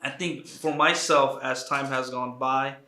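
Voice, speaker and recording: A man's voice: mid-range voice, steady tone, a little slow, sharp tone, echoey room. A man interviewing a guest, friendly and affirming, often answering with "right" and "mm-hmm". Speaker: interviewer, college counselor. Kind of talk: conversational interview.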